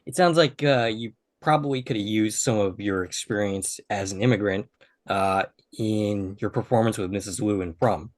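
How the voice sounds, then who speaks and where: A man co-hosting an interview, asking calm, measured questions. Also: fairly low pitch. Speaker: co-host interviewer. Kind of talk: casual interview chat.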